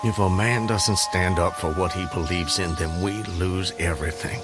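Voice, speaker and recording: A proud Southern gentleman played by a male actor in a radio drama, his voice low and grave. He speaks in measured, formal phrases like a man of firm principle, with strong music underneath. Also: steady tone, close to an everyday voice. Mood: firm, tender. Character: proud, resolute Southern gentleman planter, haunted Civil War rebel. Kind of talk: radio drama acting, narrating and dialogue.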